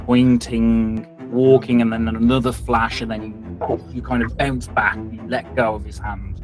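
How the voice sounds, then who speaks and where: A man improvising tabletop game narration, telling it in a halting, suspenseful way. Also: medium pitch, level tone. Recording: music playing behind the voice.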